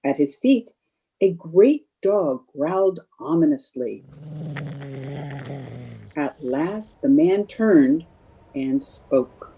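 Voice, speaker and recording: A woman's voice: sits low, lively intonation, extremely slow, long pauses, warm tone, a little hiss or hum, low-quality recording. A woman narrating a radio play in a dramatic, scripted storytelling style.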